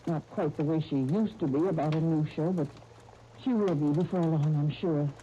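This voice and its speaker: Plain-spoken, steady showbiz woman played by an actress, confiding, soft dark tone, muffled vintage radio broadcast. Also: sits low, moderate pace, a little hiss or hum, natural voice. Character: sensible, loyal, plain-spoken theater woman. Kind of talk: 1930s radio drama acting.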